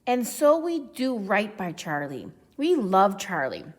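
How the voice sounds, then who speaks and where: A woman reading a children's picture book aloud with deliberate, expressive phrasing. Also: mid-range voice. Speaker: storybook reader. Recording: echoey, long reverb.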